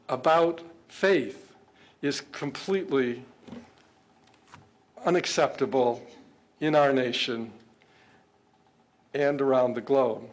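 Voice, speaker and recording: An older man giving a public speech to an interfaith gathering. He talks earnestly and haltingly, often repeating words as he searches for the next phrase. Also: a little high. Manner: earnest, measured. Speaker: government official speaking at an interfaith event. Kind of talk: public speech with prepared remarks.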